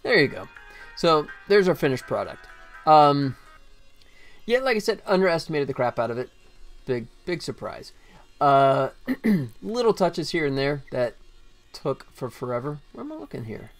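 A man's voice: fairly high pitch, sing-song, moderate pace, long pauses, faint music behind. A middle-aged man chatting casually and wryly on a livestream. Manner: easygoing, wry, self-deprecating. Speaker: game developer livestreamer. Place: indoor desk, livestream webcam setup.

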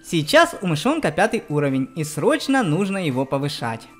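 A woman's voice: low-pitched, sing-song, fairly quick, faint music behind. A woman giving explanatory game commentary for children, speaking directly to her young viewers. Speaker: let's play narrator on a children's channel.

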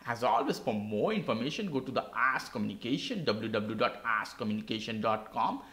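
A man's voice: mid-range voice, expressive, a little fast, a bit bright, echoey room. A man hosting a tech tutorial, walking through a laptop reset step by step in simple, non-native English. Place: indoor desk.

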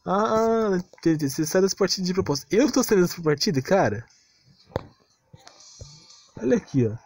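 A man's voice: high voice, lively intonation, normal speed, a little mellow, soft background music. A Brazilian man ranting casually and unscripted as a gaming video creator, defensive and exasperated, on a close, cheap-sounding mic. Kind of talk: casual unscripted rant over game footage.

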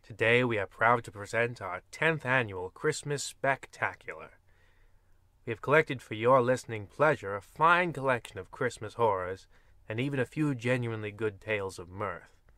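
A grandiose old-time radio horror host, acted by a man, formal and theatrical. The voice is forceful and bright at a middle pitch, recorded dry and close. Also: expressive, moderate pace, no echo. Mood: grandly welcoming.

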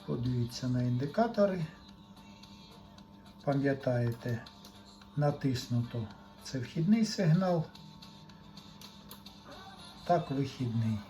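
A man's voice: mid-range voice, lively intonation, takes it slowly, long pauses, warm tone, faint music behind. A man giving an unscripted, matter-of-fact technical demonstration, talking in short fragments as he works. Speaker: hobbyist repair technician presenting a demonstration.

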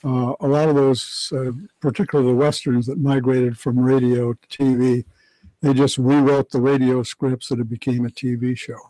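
Older man, mellow and matter-of-fact. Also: mid-range voice, flat delivery, normal speed, low-quality recording. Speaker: radio historian guest. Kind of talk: radio interview answers. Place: small radio studio. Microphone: studio mic with headphones.

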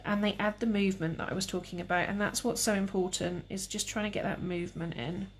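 A young woman with a calm, relaxed voice, demonstrating cake painting live and chatting with viewers. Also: medium pitch, fast talker, slight background noise. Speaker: cake decorating tutor.

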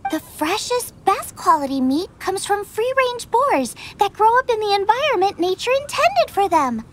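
A woman playing a cheerful, excitable teenage girl chef in a video game, eager and expressive. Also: very high voice, moderate pace, full character voice. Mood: earnest. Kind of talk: video game character acting.

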